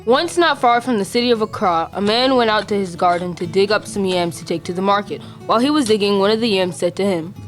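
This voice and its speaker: A woman's voice: mid-range voice, fast talker, few pauses, faint music behind. A young female student reading scripted folktale narration as the narrator. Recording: faint noise, amateur school podcast.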